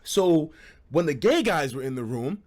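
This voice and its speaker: A man streaming casual, animated stories to a live chat, with slangy, profane phrasing and dramatic emphasis. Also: on the high side, moderate pace. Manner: profane, slangy, acts out quoted dialogue; dramatic emphasis and repetition for suspense.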